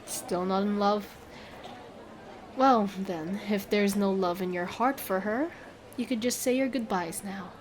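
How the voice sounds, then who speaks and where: A woman's voice: mid-range voice, a little slow, slight background noise, lightly acted, low-quality recording. A woman playing a smug, haggling female merchant with glib, theatrical sales patter in a fantasy audio drama. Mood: smug, wheedling. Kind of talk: scripted fantasy audio roleplay.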